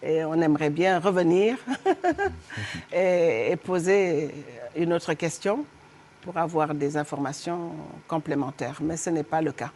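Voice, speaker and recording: A middle-aged woman from Senegal speaks as a political guest on a TV studio set, her voice notably low for a woman. She talks in an animated, emphatic way, often stopping to hesitate before pressing her point. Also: unhurried, slight background noise. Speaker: guest politician, party honorary president. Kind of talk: political TV interview.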